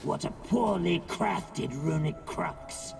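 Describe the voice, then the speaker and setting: A scornful fantasy game character with a very gravelly, creaky voice, fairly high for a male. The delivery is slow and disdainful, heard from far off in a long reverb. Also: a little mellow, heavily acted. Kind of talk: scripted video game dialogue. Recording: long hall reverb, distant, music underneath.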